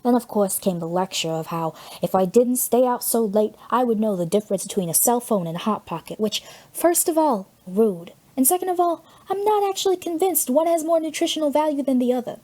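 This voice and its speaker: A woman's voice: fairly high pitch, expressive, brisk, mellow, voice pushed up. Female narrator as teenage boy hero, wisecracking, breezy, sarcastic, chatty, audiobook dialogue. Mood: sarcastic rambling. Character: wisecracking fifteen-year-old boy superhero, cheeky and chatty.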